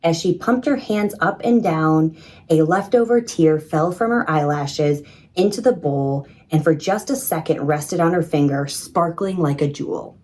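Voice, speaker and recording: A woman reading a children's story in an expressive, measured storytelling manner. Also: low-pitched. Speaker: storybook reader. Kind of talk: scripted children's story narration.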